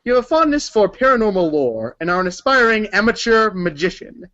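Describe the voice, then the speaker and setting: A man's voice: sits high, sing-song, a little fast, a bit bright. A man reading scripted narration for a read-along story performance, in a dull recording.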